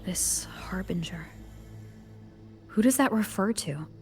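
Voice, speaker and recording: A woman's voice: mid-range voice, animated, slow pace. An actress voicing a curious young woman adventurer who reasons aloud through clues in an English game dub. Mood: pondering. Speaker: protagonist investigating ruins. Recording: roomy game audio with long reverb. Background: soft music.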